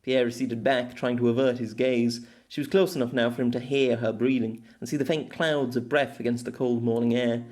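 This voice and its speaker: A man's voice: medium pitch, little pitch movement, a little fast, hardly any pauses, darkish tone. A man reading a scripted story as an amateur audiobook narrator.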